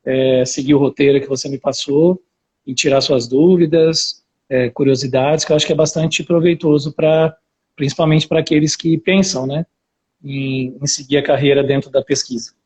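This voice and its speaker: Husky man with an interior São Paulo accent. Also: a little high, steady tone, normal speed, a little mellow. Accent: interior São Paulo Brazilian Portuguese. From interior São Paulo, Brazil. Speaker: academic researcher, interview guest. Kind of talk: casual live interview, personal account. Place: remote live video call.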